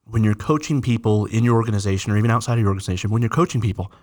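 A man's voice: lowish voice, very fast, darkish tone. A man co-hosting a casual podcast, friendly and explanatory, laughing easily. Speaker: podcast co-host.

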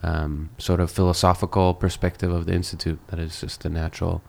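A man from Israel, a musician, chatting easily as a podcast guest at a friend's home, agreeable and quick to laugh. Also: low voice, little pitch movement, vocal fry. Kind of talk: casual interview chat. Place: host's home.

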